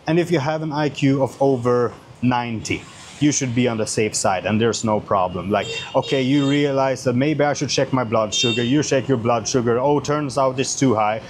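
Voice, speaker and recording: A man's voice: medium pitch, normal speed, slight background noise. A man from Sweden with a Swedish accent talks casually and bluntly as a YouTuber answering questions, swearing often and repeating phrases for emphasis.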